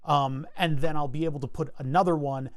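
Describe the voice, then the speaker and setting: A man's voice: fairly high pitch, fairly even intonation, a little fast, no room sound, studio quality. A man streaming live, chatting casually while he designs a circuit board, thinking aloud and often restarting his sentences. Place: home computer desk.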